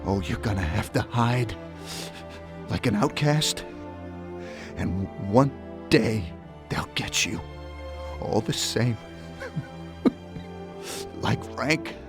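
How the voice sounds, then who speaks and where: A bitter, wounded gangster in a video game, sneering at his old friend in a slow, swinging voice that rises and falls. Loud score music plays behind him. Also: on the low side, animated. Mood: taunting. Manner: taunting, pained groans. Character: bitter dying male gangster, former friend. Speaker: game character.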